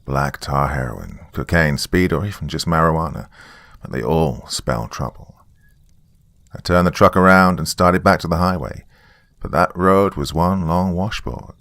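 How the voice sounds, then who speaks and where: A man's voice: a bass voice, gravelly, a bit bright, no echo. A man reading a scripted first-person story as a dramatic narrator. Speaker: narrator of horror stories.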